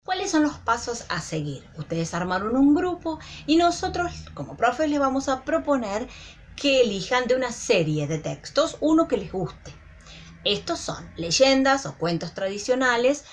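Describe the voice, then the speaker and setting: An Argentine woman teaches in an instructional style. Her voice sits a little high and moves in lively swings, over faint background noise. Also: a little slow. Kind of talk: instructional presentation to students.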